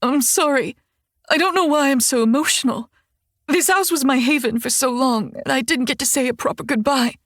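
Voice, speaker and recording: A woman voicing a tender, emotional young woman who is crying, in a soft, halting audiobook reading. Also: mid-range voice, dry recording, studio quality, natural voice. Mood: tearful. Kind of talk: audiobook character voice.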